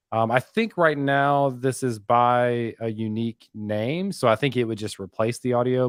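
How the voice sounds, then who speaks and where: A man's voice: medium pitch, no echo, clean studio sound. A man talking casually as a guest developer, explaining technical details. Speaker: guest developer and podcast host.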